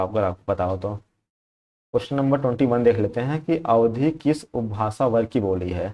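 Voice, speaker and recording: A man's voice: mid-range voice, a little mellow. Man walking through exam questions. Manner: conversational, repeats points and checks in with listeners. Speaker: exam prep teacher. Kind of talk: explaining exam questions to viewers.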